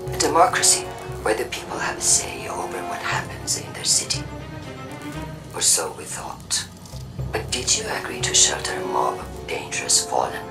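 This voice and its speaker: A woman playing a stern, suspicious faction leader in a video game, making an accusatory, deliberate speech in a breathy voice. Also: rumbling low, heavily acted. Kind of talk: cinematic video game propaganda broadcast. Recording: distant voice under loud music. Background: loud game soundtrack.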